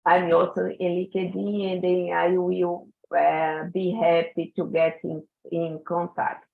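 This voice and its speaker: Woman, guest speaker on a tinny phone-like call line, unhurried and searching for English words. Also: on the low side, little pitch movement, creaky. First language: Portuguese. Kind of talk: online conference talk. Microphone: video call.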